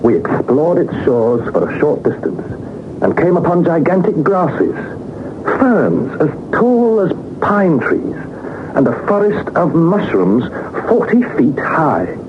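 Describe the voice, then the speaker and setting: An earnest young British gentleman adventurer of a 1960s radio serial. His storytelling is literary and dramatic, with very lively swings of pitch. The sound is narrow, with heavy background noise from an old broadcast. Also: takes it slowly. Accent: British English. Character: Harry Lawson, earnest young Victorian adventurer recounting perilous journey. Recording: muffled, noisy 1960s radio recording.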